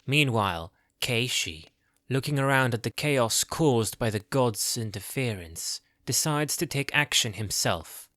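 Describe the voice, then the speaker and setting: A man's voice: medium pitch, lively intonation, a little slow, fairly bright tone, dry recording. A man giving scripted narration as the storyteller of a fantasy audio drama.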